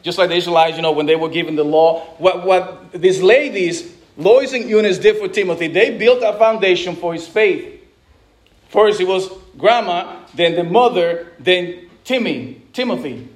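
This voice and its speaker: High-voiced preaching man from the Dominican Republic. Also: normal speed. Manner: conversational preaching with frequent restarts and asides. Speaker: pastor. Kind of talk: sermon.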